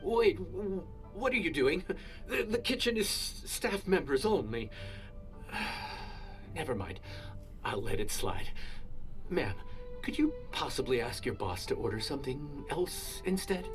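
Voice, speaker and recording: A man's voice: on the high side, big pitch swings, measured pace, faint music behind. A man playing an easygoing, polite young restaurant cook in a video game, accommodating and a little hesitant. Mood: flustered.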